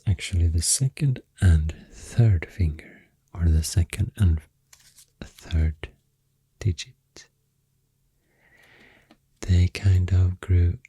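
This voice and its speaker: A deep-voiced man with a Swedish accent speaks in a very breathy, soft ASMR register. He rambles through explanations slowly and hesitantly, often slipping toward a whisper. The sound is close to the mic and dry. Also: no echo. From Sweden. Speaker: ASMR creator. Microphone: close microphone. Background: pencil writing and clicking sounds.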